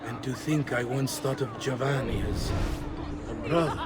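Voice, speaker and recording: An actor playing an arrogant, menacing Italian magistrate with an Italian accent and a sarcastic manner in a video game. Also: male voice, mid-range voice, normal speed, loud music behind the voice, a loud crowd, lightly acted. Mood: smug.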